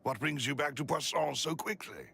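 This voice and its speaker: An actor voicing a polite, formal gentleman retainer with a gravelly voice in scripted game dialogue. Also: fairly high pitch, normal speed, a little hiss or hum, full character voice. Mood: courteous.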